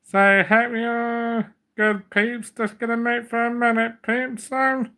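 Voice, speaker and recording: A man's voice: extremely high pitch, little pitch movement, takes it slowly, sharp tone. A man hosting a casual livestream, rambling and repeating himself, with a slight cough from a cold. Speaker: livestream host.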